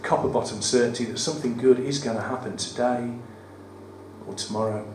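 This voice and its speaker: A man with a West Midlands accent and a croaky voice, reading his poems at a live event with wry, self-deprecating banter. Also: mid-range voice, brisk, darkish tone, distant mic. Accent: West Midlands English. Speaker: performance poet. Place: studio theatre at a live cabaret event. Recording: roomy live venue, mic from afar, audible room noise. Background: audience ambience.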